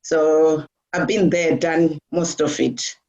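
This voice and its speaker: Zimbabwean-born woman, halting interview talk. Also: low voice, little pitch movement, a little mellow. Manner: hesitant, many fillers and restarts. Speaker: guest. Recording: dull lo-fi audio.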